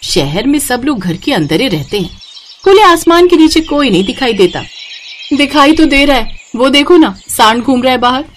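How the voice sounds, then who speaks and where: A woman's voice: high voice, sing-song, moderate pace, slight background noise, voice pushed up. A woman voicing a simple, cheerful young village bride in a story, polite and earnest, with a rustic way of speaking. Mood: wondering.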